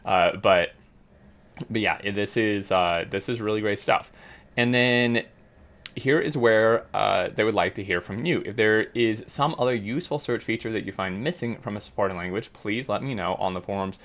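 A man's voice: fairly low pitch, normal speed, a bit bright. A man casually reading out and commenting on a game developer blog, upbeat and full of fillers. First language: English. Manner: chatty, filler-heavy, upbeat. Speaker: gaming YouTuber.

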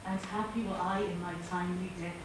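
A woman stage actor declaiming Shakespeare verse in a measured way. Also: lowish voice, fairly even intonation, noisy background. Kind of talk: Shakespeare recitation and stage dialogue. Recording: echoey theatre from far off.

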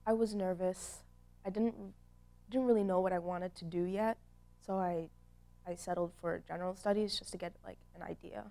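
A young woman speaking softly and hesitantly in a documentary interview in a studio. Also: on the low side, steady tone, moderate pace, vocal fry. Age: young adult. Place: studio with plain backdrop.

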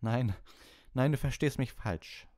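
A man's voice: medium pitch, slow pace, darkish tone, pitched above the performer's own voice, lightly acted. A man voicing a cheerful, encouraging wizard teacher in an audiobook, instructing patiently and kindly. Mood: gentle, probing. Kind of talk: animated audiobook character voice.

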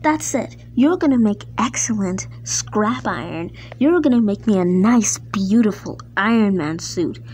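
A woman voicing a pompous, hot-tempered male railway controller in a children's story, domineering and scolding in drawn-out words. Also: fairly high pitch, darkish tone, a little hiss or hum, natural voice. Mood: gloating fury.

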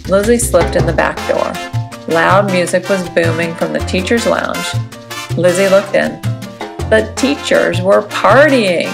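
A woman giving an expressive read-aloud narration of a children's storybook. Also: lowish voice, unhurried, gravelly. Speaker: storybook reader. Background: background music.

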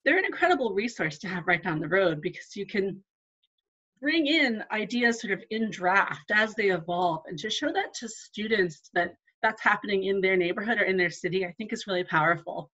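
A woman archivist presents a live online talk in a relaxed, conversational way, with filler words and little asides. Her voice sits at an ordinary middle pitch, and the audio is somewhat dull and lo-fi. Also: normal speed, slightly rough. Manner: conversational, with frequent ums and asides. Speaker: museum archivist.

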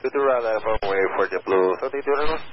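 A man's voice: lowish voice, quick pace. A man, an airline pilot, reading back instructions in clipped phrases over cockpit radio. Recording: muffled noisy aviation radio.

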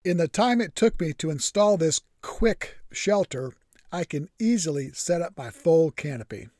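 A man's voice: on the high side, expressive, normal speed, a little mellow, no echo. A man narrating his camping trip in a scripted voice-over, matter-of-fact with dry humor. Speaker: vlogger.

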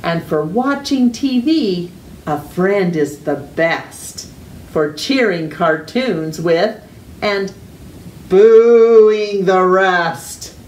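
A middle-aged woman reading a children's picture book aloud with deliberate, exaggerated storytime delivery and drawn-out words. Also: medium pitch, animated, darkish tone. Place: living room at home. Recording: roomy echo, distant mic, faint noise.

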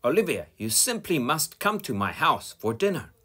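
A prim, proper little girl pig from a storybook, as a man puts her on, her voice swooping high and low. The sound is bright but husky, and she takes her time over each word. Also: very animated, normal speed, heavily acted. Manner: bright, exaggerated high delivery.

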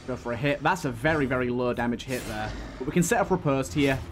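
A man's voice: mid-range voice, fast, noisy background. A man giving casual let's-play commentary, thinking aloud as he plays. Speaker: gaming video host.

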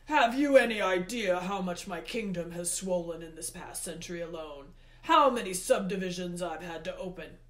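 A woman voicing a furious, imperious male god of the underworld for a storybook, with booming, emphatic, drawn-out words. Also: lively intonation, lightly acted. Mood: exasperated ranting. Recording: roomy, echoey indoor sound.

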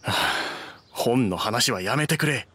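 An actor playing a grumpy, short-tempered middle-aged book collector in an anime video game, curt and pompous. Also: male voice, mid-range voice, animated, slow pace, pushed and bright, a little hiss or hum. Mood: bitter.

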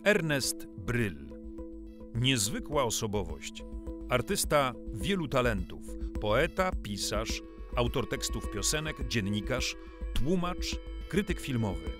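A male narrator reads a scripted profile with a lively, varied melody. His pitch is average for a man, and instrumental music plays clearly behind him. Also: takes it slowly. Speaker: voice-over narrator.

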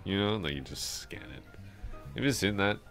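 A man playing a drunk, awkwardly smitten office coworker in an intimate ASMR roleplay, rambling, hesitant and trailing off in a slurred, gravelly voice. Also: deep voice, lively intonation, moderate pace, a bit bright, soft background music, exaggerated voice. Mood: drunken rambling.

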